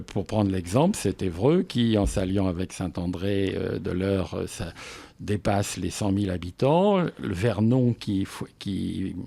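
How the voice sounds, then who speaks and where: A man speaking as a local official in a radio interview, explaining things in measured, formal phrasing. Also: fairly low pitch, gravelly, warm tone.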